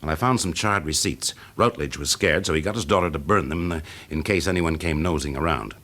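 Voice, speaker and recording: An actor playing a shrewd, wry fire investigator with a British accent in a classic radio drama, explaining things drily. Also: male voice, very deep voice, fairly quick, fairly bright tone. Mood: explanatory, persuasive. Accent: British English.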